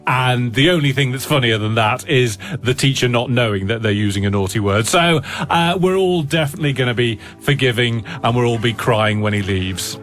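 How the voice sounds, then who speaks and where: A man's voice: mid-range voice, brisk, few pauses, a bit bright, soft background music. A man co-hosting a radio show, chatting and reading listener messages with dry humour. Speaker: radio co-host. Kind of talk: casual on-air banter. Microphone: studio microphone.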